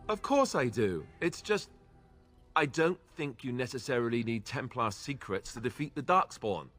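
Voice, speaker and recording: An actor playing an earnest young warrior, reluctant and unsure, in a fantasy video game. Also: male voice, mid-range voice, animated, normal speed, fairly bright tone, faint music behind.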